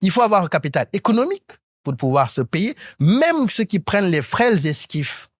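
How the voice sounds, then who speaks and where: A man in his thirties from Senegal, speaking as a talk show panelist in an articulate, academic way, often pausing on hesitant fillers. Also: sits high, very animated, dry recording, clean studio sound. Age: 30s. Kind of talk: panel discussion.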